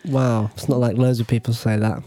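A man's voice: mid-range voice, little pitch movement, brisk, warm tone. A young British man hosting a podcast in a casual, teasing, playful way. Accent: British English. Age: young adult. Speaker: podcast host.

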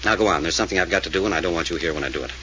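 Deep-ish quick wisecracking detective, old radio drama sound, bit muffled and hissy. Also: male voice, pushed and bright. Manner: brisk, wry, probing. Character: brisk wisecracking male amateur detective. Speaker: detective figure. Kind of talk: 1940s radio acting. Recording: dull old radio recording with faint hiss.

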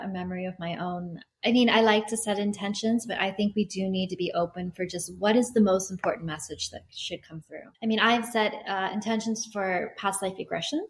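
Woman, hypnotherapist, podcast guest, easygoing, relaxed restarts, mid pitch. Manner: open, easygoing, many "I mean" restarts. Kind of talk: casual interview chat.

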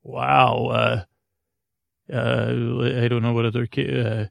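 Creaky-voiced man rambling through a bedtime podcast. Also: mid-range voice, flat delivery, dry recording. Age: 40s. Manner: halting, meandering, stumbling over his own sentences. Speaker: podcast host. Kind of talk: rambling improvised bedtime monologue.

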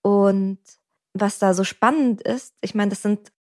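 A woman's voice: medium pitch, steady tone, unhurried, darkish tone. A woman therapist in a podcast conversation, speaking reflectively, with frequent ums and everyday examples. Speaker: couples therapist.

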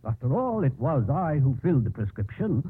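An actor playing a polite but self-righteous male pharmacist in a 1950s radio drama, with a husky voice. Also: medium pitch, big pitch swings, a little fast. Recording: muffled phone-like old radio broadcast.

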